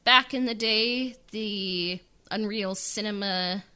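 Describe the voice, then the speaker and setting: A woman's voice: medium pitch, takes it slowly, bright, forceful tone, clean tone. A woman chatting casually on a podcast as a writer-director, informal and hesitant in the way she talks. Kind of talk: casual interview chat.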